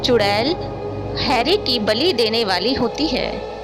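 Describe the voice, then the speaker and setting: A woman's voice: on the high side, expressive, slow, music in the background. A woman giving scripted storytelling narration for a horror cartoon. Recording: very loud ambient noise behind voice.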